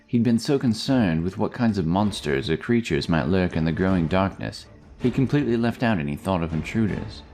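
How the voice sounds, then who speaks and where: A man's voice: low-pitched, animated, fairly quick, a little mellow. A man narrating a scripted fantasy story as a storyteller. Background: music.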